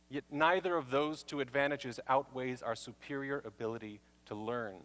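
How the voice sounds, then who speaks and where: Bright-voiced man with wry timing. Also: fairly high pitch. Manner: wry, with comic pauses before punchlines. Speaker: speaker giving a talk. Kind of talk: storytelling talk. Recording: long reverb from a large hall.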